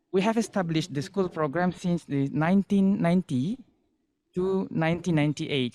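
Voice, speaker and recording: A man from Indonesia with an Indonesian accent, an overseas student giving a halting, earnest comment in a panel discussion. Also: fairly high pitch, darkish tone, smooth. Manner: halting, earnest, searches for words.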